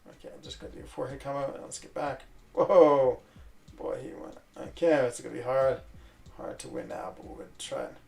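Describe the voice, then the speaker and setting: A man who grew up in Switzerland, chatting casually and rambling while he plays a video game, breaking off into quick exclamations. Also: medium pitch, gravelly, a little mellow. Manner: rambling, self-interrupting chatter with fillers and sudden exclamations. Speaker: gaming streamer.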